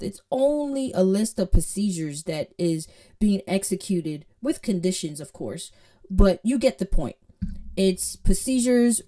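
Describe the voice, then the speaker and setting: A woman's voice: medium pitch, warm tone. Playful Jamaican woman teaching code. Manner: playful, chatty, checks in with listeners often. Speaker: coding tutor. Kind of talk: casual screen-share tutorial.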